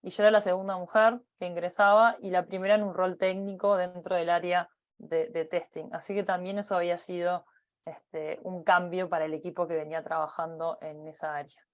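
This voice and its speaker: A woman from Uruguay with a Uruguayan Spanish accent talks through her working life in a conference talk. Her voice sits a little low, and she often stops on fillers and restarts her sentences. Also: flat delivery, a little fast. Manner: hesitant, many fillers and restarts. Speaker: company partner giving a talk. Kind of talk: personal career talk.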